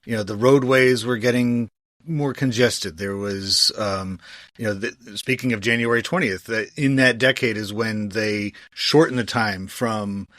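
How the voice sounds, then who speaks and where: A man's voice: lowish voice, measured pace, a bit bright, no echo, clean studio sound. A man hosting a podcast, talking casually and conversationally about history. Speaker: podcast host.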